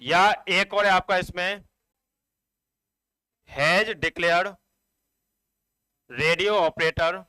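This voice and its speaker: A man's voice: high-pitched, slow pace, pauses often, pushed and bright, clear, resonant tone, no echo, low-quality recording. A man giving an informal, explanatory exam update as a coaching teacher, addressing students directly in a repetitive, instructive way.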